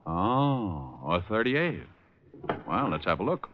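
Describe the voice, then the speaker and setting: A man's voice: on the low side, sing-song, slow pace, noisy background. An actor playing a tough, wisecracking male private detective, wry and breezy, in 1940s radio style. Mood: businesslike, curious. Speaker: lead actor and first-person narrator. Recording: dull vintage radio recording with music.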